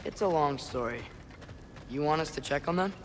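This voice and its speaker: A man's voice: fairly high pitch, normal speed, lightly acted. Guy voicing curious teen boy in a video game, lightish voice, casual and sincere, bit of background noise. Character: earnest, curious teenage boy giant archer. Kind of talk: video game cinematic acting.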